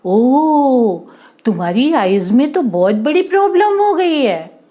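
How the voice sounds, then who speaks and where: A woman playing a grave storybook doctor, delivering alarming verdicts slowly and emphatically. The voice is raised, with lively rises and falls. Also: high voice, pitched above the performer's own voice, exaggerated voice. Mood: alarmed.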